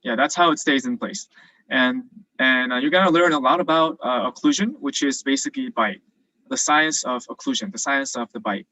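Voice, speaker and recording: Young guy from south korea, dental student, bright voice, dry sound, explaining stuff with lots of uhs. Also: mid-range voice, moderate pace, no echo, low-quality recording. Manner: casual, explanatory, frequent fillers. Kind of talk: virtual presentation and Q&A. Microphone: video call.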